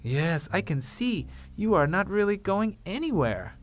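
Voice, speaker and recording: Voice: mid-range voice, unhurried, soft background music, pitched above the performer's own voice. A storyteller voicing a curious, kind little boy who stammers and gasps in amazement in a children's story. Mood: gentle. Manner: excited, stammering, wide-eyed.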